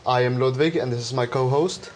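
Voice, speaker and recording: A man's voice: mid-range voice, brisk, slight background noise. A young man hosting a student talk show, asking guests questions with frequent fillers. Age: teens or young adult. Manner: leads questions, hesitant fillers.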